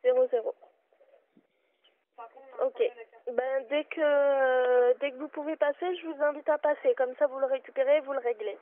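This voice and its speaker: Patient, firm female shop clerk, high-pitched, heard over a phone line. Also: steady tone, normal speed, pauses often. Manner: polite but firm, patient. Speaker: bookshop clerk. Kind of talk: customer service phone call.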